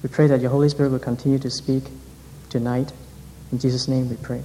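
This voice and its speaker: A man leading a congregation in earnest, measured prayer. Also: medium pitch, flat delivery, mellow, a little hiss or hum. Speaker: interpreter and prayer leader. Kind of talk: interpreting a sermon, then leading prayer. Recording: reverberant hall.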